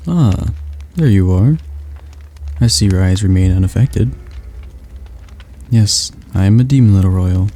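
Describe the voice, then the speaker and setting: A gentle male demon tutor, voiced by a man in an ASMR roleplay, his tone dark and mellow. He talks softly and slowly, reassuring throughout, with a slight stutter. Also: deep voice, lively intonation, exaggerated voice. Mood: soothing. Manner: soft, slow, soothing delivery.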